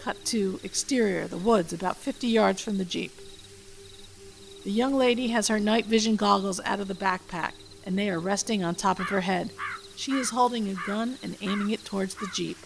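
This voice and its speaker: A woman's voice: medium pitch, moderate pace, creaky, faint music behind. A woman podcast host reading her own script aloud, stage directions and all. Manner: reads stage directions steadily. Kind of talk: script read-aloud narration.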